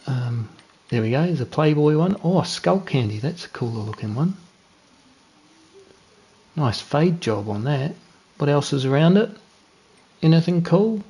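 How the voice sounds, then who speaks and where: A man's voice: medium pitch, dark tone, poor audio. A young man with a New Zealand accent giving laid-back, chatty commentary while streaming a racing game, joking at his own mistakes. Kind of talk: casual gameplay commentary.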